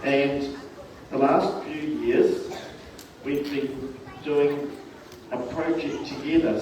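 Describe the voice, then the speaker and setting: A man addressing a school assembly, giving slow, deliberate directions to the pupils. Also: medium pitch. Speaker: school staff leader at assembly. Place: large school hall. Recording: echoey hall from far off, background noise.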